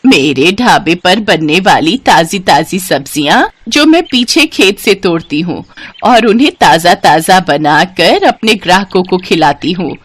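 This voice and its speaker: A woman's voice: fairly low pitch, lively intonation, measured pace, slightly rough. Lady doing poor old granny who runs a food stall, proud n shrewd, moral story cartoon type. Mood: proud, friendly.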